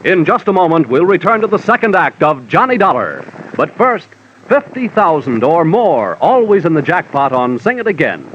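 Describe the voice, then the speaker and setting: A man's voice: fairly high pitch, very animated, normal speed, fairly bright tone. A man announcing promotions in 1940s radio style, with a polished, punchy salesman's delivery. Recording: muffled, slightly noisy vintage radio.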